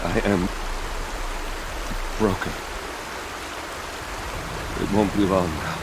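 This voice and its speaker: Dying tribesman, painfully slow. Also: sits low, steady tone. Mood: weary, fading. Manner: halting, laboured. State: weak and dying. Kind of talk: dramatic video game acting. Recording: loud rain noise over voice.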